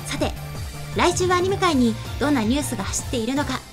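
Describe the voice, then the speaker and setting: High-voiced young woman hosting, music behind. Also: lively intonation, fast talker. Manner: polished announcing mixed with casual banter. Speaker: show host. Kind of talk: live web talk show hosting. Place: small studio set, indoors. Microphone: table microphone on a stand.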